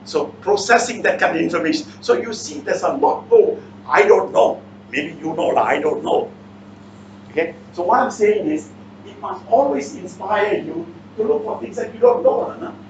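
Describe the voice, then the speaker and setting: Male seminar speaker, Malaysian or Singaporean accent, blunt and quizzing, higher-pitched voice, distant mic in an echoey room. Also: expressive, moderate pace, dull audio, slight background noise. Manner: blunt, interactive, repeatedly challenges listeners with questions. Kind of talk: motivational seminar talk, quizzing the audience.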